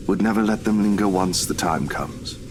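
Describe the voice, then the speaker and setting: A man's voice: low voice, normal speed, lightly acted. Kindly young priest played by a man, noisy ambience. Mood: solemn, assured. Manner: gently preaching, courteous. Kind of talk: visual novel voice acting.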